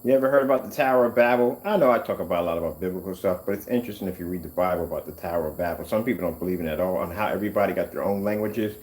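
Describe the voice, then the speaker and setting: A middle-aged man chatting casually while working in a workshop, wandering off topic with animated bursts. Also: low voice, very fast, hardly any pauses, poor audio. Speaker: screen paint maker.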